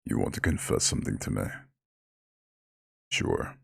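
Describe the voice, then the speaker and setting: An ancient eldritch god for an ASMR roleplay, rumbles at an extremely low pitch with heavy, gravelly fry. He speaks with lordly, formal pride and a caretaker's tenderness, his intonation moving freely in a close, dry recording. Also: expressive, a bit bright, no echo, exaggerated voice. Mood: gentle, consoling. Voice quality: very deep, gravelly, husky growl. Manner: lordly, formal, slow comforting address. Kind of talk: scripted ASMR roleplay monologue.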